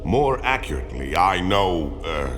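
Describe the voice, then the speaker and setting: A man playing a big four-armed alien lawman in a video game, speaking formally and deliberately with weighty pauses. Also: low-pitched, very animated, background noise. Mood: calm, measured. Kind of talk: video game cutscene voice acting. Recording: music behind the voice.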